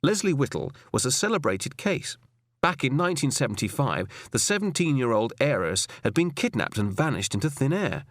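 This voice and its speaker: A man's voice: medium pitch, big pitch swings, normal speed, dry recording, clean studio sound. A man with a British accent reading a crime novel as an audiobook narrator in a scripted storytelling style.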